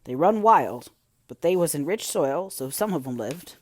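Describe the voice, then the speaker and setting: A woman's voice: low voice, animated, normal speed. A woman voicing a crusty old male gardener, grumbling and blunt but kind underneath, in a storybook reading. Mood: reluctant, gruff. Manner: grumbling, blunt dialect speech.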